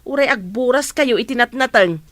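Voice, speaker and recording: A woman's voice: on the high side, moderate pace, no echo, close to an everyday voice. A woman playing a village mother who scolds plainly, with an Ilocano accent, in a radio comedy drama. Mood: nagging. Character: village mother nagging her student daughters.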